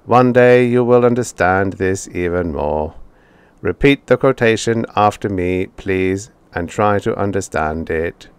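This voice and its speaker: An emotionless machine teacher, given voice by a male narrator, speaks in a flat metallic tone. The delivery is slow, low and carefully measured, without feeling. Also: clean tone, no echo. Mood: instructive. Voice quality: metallic, even, unfeeling.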